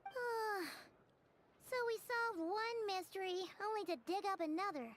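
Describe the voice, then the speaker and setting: A woman voicing a tiny, childlike, excitable fairy companion in a video game, chirpy and exclamatory. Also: very high-pitched, measured pace, a little hiss or hum. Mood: curious. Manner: speaks of herself in third person, exclamatory.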